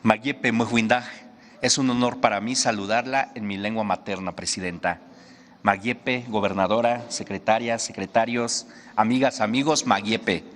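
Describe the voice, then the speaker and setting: A man's voice: medium pitch, a bit bright, slight background noise. A man speaking formally and solemnly at a press conference, introducing a cultural segment. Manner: solemn, measured delivery. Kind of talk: formal ceremonial speech. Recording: long hall reverb.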